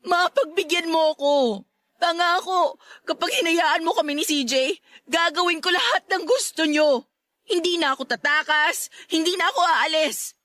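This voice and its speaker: A woman's voice: very high voice, moderate pace, sharp tone, no echo, studio quality. A woman playing a lovesick, defiant young adult daughter in a Tagalog radio drama, pleading emotionally with a Filipino accent.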